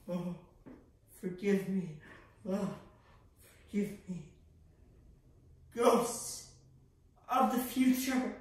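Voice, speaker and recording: A woman's voice: medium pitch, darkish tone. A teenage woman playing a guilt-ridden, bitter old male Italian composer, theatrical, pleading and declaiming. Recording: echoey room, distant microphone.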